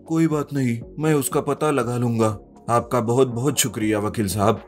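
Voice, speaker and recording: A man's voice: mid-range voice, moderate pace, faint music behind, close to an everyday voice. Man acting earnest, polite young man. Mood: curious, respectful. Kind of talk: animated Hindi story voice acting.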